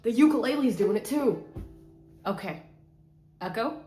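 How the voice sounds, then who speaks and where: A woman's voice: on the high side, lively intonation, measured pace, warm tone, faint music behind. A woman vlogging from her bedroom on the camera mic, playful and self-mocking, rambling and slipping into sing-song bits. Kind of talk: rambling casual vlog. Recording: echoey room sound.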